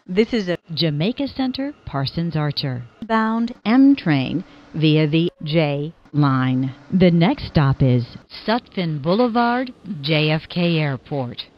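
A woman transit announcer reading scripted train announcements in a measured, formal, stitched-together way. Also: deep voice, animated. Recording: dull lo-fi announcement recording.

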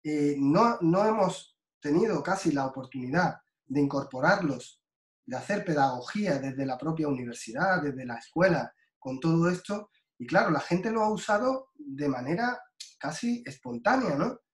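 Man from Spain, guest lecturer, slightly high, speaks slowly with fillers; echoey room over a video call. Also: animated. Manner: slow and deliberate, many fillers and restarts.

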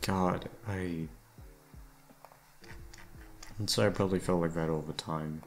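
Low-pitched man, gravelly, tired and downcast, rambling casual monologue, hesitant, sweary, music behind. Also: steady tone, moderate pace. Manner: sweary, hesitant, self-deprecating. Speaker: audio creator talking to listeners. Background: soft background music.

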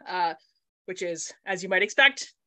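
A woman's voice: lowish voice, lively intonation, moderate pace, sharp tone, no room sound. A middle-aged woman from Manitoba, chatting on a video call from her home study, thinking aloud with many fillers and restarts. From Manitoba, Canada. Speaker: author guest. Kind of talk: casual conversation on a video call. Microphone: laptop webcam mic on video call.

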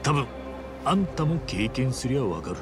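Animated, rough-talking easygoing tough guy played by a man. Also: mid-range voice, moderate pace, over music, close to an everyday voice. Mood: calm, defiant. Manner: casual, rough masculine street speech. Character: easygoing but fearless Japanese man, a tough traveller. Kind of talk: Japanese video game cutscene acting.

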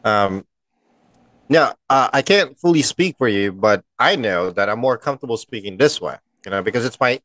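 A man's voice: medium pitch, lively intonation, moderate pace, fairly bright tone, dry recording. A man born in the Philippines, co-hosting a casual podcast over a video call, blunt and teasing. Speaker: podcast co-host. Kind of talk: casual chat. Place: bright home room, indoors. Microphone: headset and studio mic on video call.

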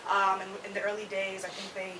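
A woman in the audience asking a panel questions in a loud voice in a conference room. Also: medium pitch, monotone, recorded from a distance, a loud crowd, poor audio. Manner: loud, quick asides. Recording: off-mic, clear room noise.

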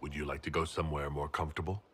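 A man voicing a calm, kindly heroic leader in a video game, with a husky voice and a measured, direct manner. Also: a bass voice, exaggerated voice. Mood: gentle, curious.

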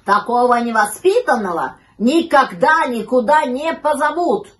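A woman's voice: mid-range voice, unhurried, a bit bright. A woman voicing a grumpy, haughty male dog in a children's storybook, grumbling and lecturing emphatically. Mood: indignant. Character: grumpy, haughty male dog who scolds uninvited guests.

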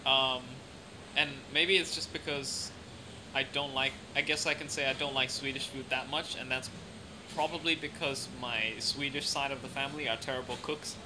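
A man's voice: mid-range voice, steady tone, normal speed. Young man, mixed accent, noisy room. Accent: mixed international, lightly British. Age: young adult. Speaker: interview guest. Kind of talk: casual chat. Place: café or restaurant at night. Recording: clearly noisy room ambience.

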